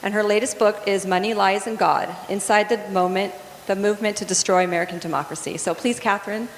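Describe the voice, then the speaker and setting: A woman's voice: medium pitch, steady tone, quick pace, background noise. A woman casually introducing panelists at a church event, chatty and informal with frequent ums. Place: church hall. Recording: echoey hall with a faint audience.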